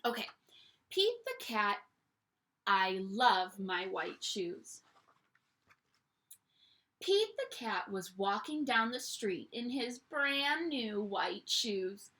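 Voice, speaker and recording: A young woman teacher reading a picture book aloud to children at home, in an animated storytime voice that invites them to join in. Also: medium pitch, drawn-out words, pauses often. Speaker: primary school teacher.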